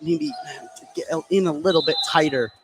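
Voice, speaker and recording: A man's voice: fairly high pitch, moderate pace. A man working as a color commentator, giving conversational, analytical commentary on a live basketball game. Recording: faint gym background noise. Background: basketball game in a gym.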